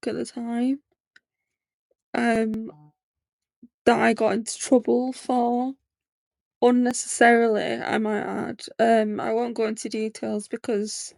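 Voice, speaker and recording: A woman's voice: fairly high pitch, creaky, darkish tone, no echo. A woman in her late twenties hosting a podcast, talking candidly in a rambling personal monologue with lots of fillers. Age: 20s. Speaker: podcast host.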